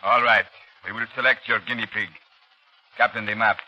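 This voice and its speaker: An actor playing a ruthless, imperious general with clipped delivery in a 1930s radio drama. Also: male voice, on the low side, fairly even intonation, normal speed, a little hiss or hum, lightly acted. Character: commanding, ruthless military general. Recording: dull vintage 1930s radio recording.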